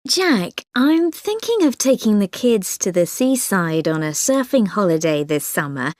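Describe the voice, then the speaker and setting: Friendly inquisitive mum in a scripted dialogue, bouncy intonation, dry studio sound. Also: female voice, medium pitch, big pitch swings, a little slow, a little mellow, no echo. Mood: curious, interested. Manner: chatty, inquisitive. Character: curious friendly mum asking holiday advice.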